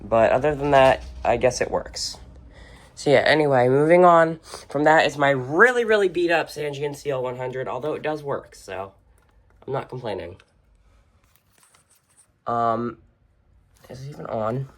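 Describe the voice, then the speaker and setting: A video host giving a casual, unscripted show-and-tell indoors in an informal, halting way. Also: mid-range voice, lively intonation. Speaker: video host and weather radio collector. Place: indoors, in a room with shelves of radios.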